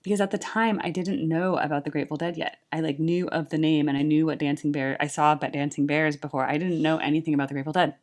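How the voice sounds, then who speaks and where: A woman's voice: low voice, fairly even intonation, fast, hardly any pauses, darkish tone. A young woman talking casually about music, earnest and chatty, with frequent "like" and "right?". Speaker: YouTube music reactor and tribute band singer.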